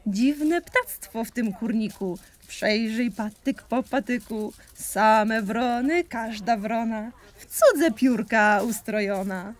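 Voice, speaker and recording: Female audio play performer: lightly high voice, unhurried sing-song verse, playful and deliberate. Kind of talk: theatrical rhymed verse recitation.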